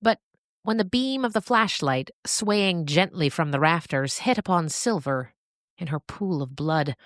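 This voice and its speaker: A woman narrating scripted fiction as a storyteller, with expressive, deliberate phrasing and dramatic pauses. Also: lowish voice, no echo, clean studio sound.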